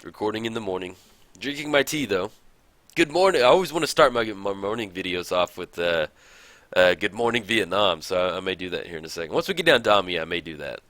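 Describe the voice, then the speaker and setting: A man casually commenting on his own card game match, thinking aloud with many fillers, his voice a bit raspy in the morning. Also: low-pitched, lively intonation, a little fast, a bit bright, no room sound. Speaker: card game video host.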